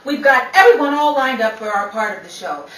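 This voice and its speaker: A woman's voice: a little high, expressive, brisk, fairly bright tone. A woman playing a brassy, exasperated 1930s stage star in old-time radio comedy style, bossy and wisecracking. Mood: boastful, snappy. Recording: roomy, distant, noisy amateur radio-play recording.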